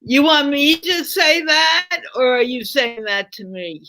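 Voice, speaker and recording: Slow-talking older woman, fairly high voice. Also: animated, bright, forceful tone. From United States. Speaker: guest. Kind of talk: casual chat.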